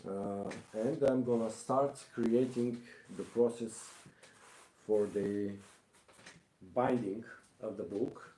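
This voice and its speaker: A man from Bulgaria with a Bulgarian accent, giving a casual live craft demonstration, haltingly thinking aloud as he works. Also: lowish voice, steady tone, mellow, echoey room, slight background noise, poor audio. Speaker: craftsman hosting a live stream.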